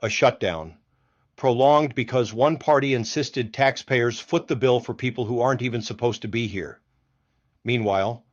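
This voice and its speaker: A man with a medium-pitched voice delivers a scripted political monologue as a show host. His delivery is folksy and emphatic, built around rhetorical questions. The sound is slightly dull, with a limited band.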